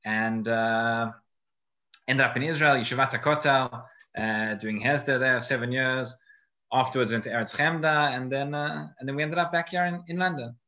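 A man's voice: mid-range voice, fairly even intonation, normal speed, sharp tone. A man with a South African accent, a rabbi guest speaking earnestly and repeating words for emphasis. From Johannesburg, South Africa. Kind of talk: casual podcast chat. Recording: dull lo-fi audio.

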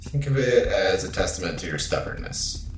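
Deep, deadpan spaceship companion. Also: male voice, normal speed. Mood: dry, deadpan. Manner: deadpan, terse. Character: dry, cautious male companion aboard a spaceship. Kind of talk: naturalistic sci-fi audio drama acting. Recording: echoey space with faint ambient noise.

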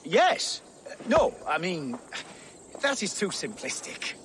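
An actor playing a pious, pedantic middle-aged monk in a video game, stammering, preachy and easily indignant. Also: male voice, high-pitched, huge pitch swings, takes it slowly, background noise, exaggerated voice. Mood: flustered. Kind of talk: video game cutscene acting.

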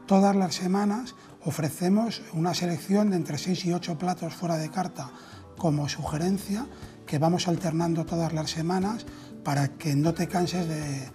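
A man's voice: on the high side, mellow. A man in his thirties with a Castilian Spanish accent, speaking conversationally in an interview as a restaurant owner, on a lapel mic. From Spain. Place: indoors in an empty restaurant dining room.

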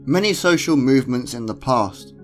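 A British man narrates a scripted video essay at a middle pitch. He makes his case in a measured, reasoned way while faint music plays softly underneath. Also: expressive. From Britain. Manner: measured, argumentative. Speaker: channel host.

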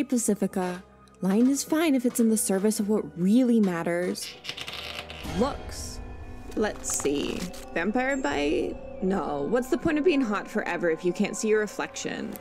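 A woman voicing a vain, entitled rich preteen girl in a cartoon comic dub, haughty and dramatic. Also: medium pitch. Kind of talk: comic dub character acting.

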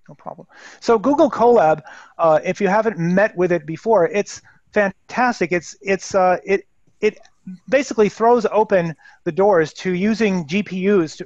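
A man's voice: high-pitched, moderate pace, darkish tone, no echo. A man hosting an online meetup, speaking casually with hesitant, stammering restarts over a video call. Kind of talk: casual chat. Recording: dull, dry video call audio.

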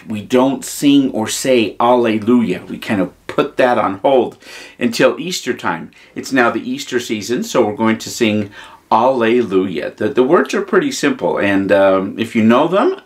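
A man's voice: mid-range voice, lively intonation, unhurried. A man in his fifties, a priest hosting a children's story time, talking informally and devotionally in simple short phrases. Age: 50s. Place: small furnished room at home, indoors.

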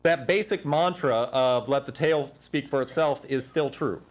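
A man's voice: mid-range voice, measured pace. Man giving a panel talk. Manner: animated, gesturing at slides, frequent restarts. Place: conference room. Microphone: lapel mic.

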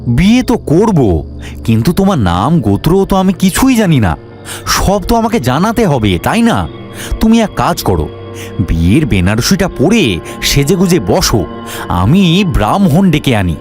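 A man's voice: a little high, wildly swooping pitch, unhurried, a little mellow, faint music behind. A man voicing a crafty, earnest married village grandfather in a cartoon, with theatrical delivery. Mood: sly, coaxing. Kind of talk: Bengali cartoon voice acting.

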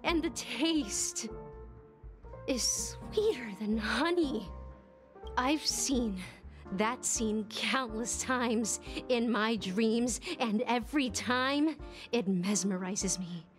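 A woman's voice: on the high side, extremely slow. An actress voicing a wistful, obsessive young female performer in a video game, with a theatrical, yearning delivery. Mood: dreamy longing. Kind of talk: video game character acting. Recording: game dialogue over background music.

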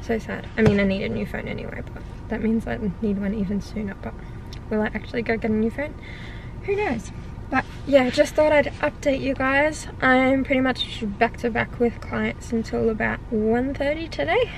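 A young Australian woman talks to her camera from the driver's seat of a parked car, chatty and rambling, often laughing at herself. Her voice sits a little high, with a gravelly fry at the ends of phrases, and there is a clear background noise of the car around her. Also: steady tone, moderate pace, poor audio. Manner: chatty, rambling, self-amused. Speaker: vlogger and personal trainer. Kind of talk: casual vlog chat to camera. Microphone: camera on the dashboard.

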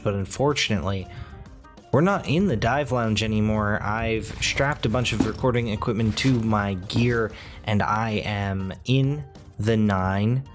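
Guy hosting a podcast, doing an ad read kinda slow, soft music behind. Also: fairly low pitch. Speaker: podcast host. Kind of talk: in-character sponsor ad read.

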